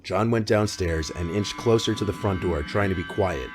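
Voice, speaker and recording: A man reading a scripted scary-story narration in a measured, matter-of-fact way. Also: deep voice. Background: music bed.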